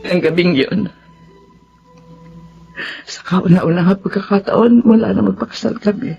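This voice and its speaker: A woman's voice: mid-range voice, unhurried, vocal fry, mellow, husky, highs cut off, faint music behind, full character voice. A Filipino woman playing a tender, grieving middle-aged widowed mother in a radio drama. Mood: tearful, regretful.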